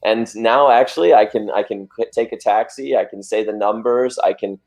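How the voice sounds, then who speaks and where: A male dancer chatting casually in an interview, telling animated stories and laughing often. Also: lowish voice, normal speed, gravelly.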